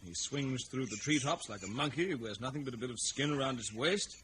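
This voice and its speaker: An actor playing an earnest, polite, well-spoken young gentleman in an old-time radio jungle adventure. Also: mid-range voice, a little fast, close to an everyday voice. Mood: reasoning, sceptical. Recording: noisy old radio serial recording.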